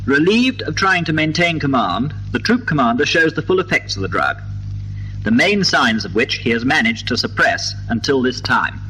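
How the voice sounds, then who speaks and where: A British man delivering formal, precise, measured scripted narration for a 1950s documentary, on an old film soundtrack. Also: medium pitch, sing-song, bright, forceful tone, a little hiss or hum, low-quality recording.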